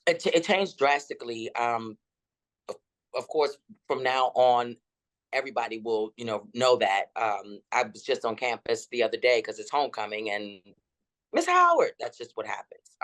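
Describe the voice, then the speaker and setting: A woman in her fifties with a deep, rolling voice, telling her story dramatically as an interview guest. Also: normal speed. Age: 50s. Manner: dramatic and expressive.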